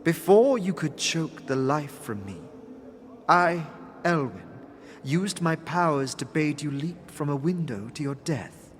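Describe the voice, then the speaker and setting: A man playing a long-lived, mysterious female being in a fantasy audio drama, speaking in a formal, halting, wistful and guarded way. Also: a little high, lively intonation, a little hiss or hum. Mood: grave, sorrowful. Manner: stately, halting, formal, interjecting own name.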